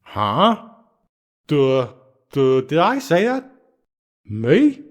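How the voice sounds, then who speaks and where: An actor playing a bumbling, nervous police detective with a heavy stammer and a deferential manner, in a video game dub. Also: male voice, a little high, huge pitch swings, drawn-out words, heavily acted. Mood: rattled, apologetic.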